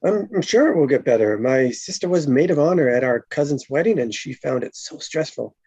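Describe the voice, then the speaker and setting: A woman reading the part of a friendly, teasing police officer with a husky, creaky voice, in a casual table read. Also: low voice, expressive, a little fast, dark tone, dry recording, dull audio, full character voice. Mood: friendly, quirky.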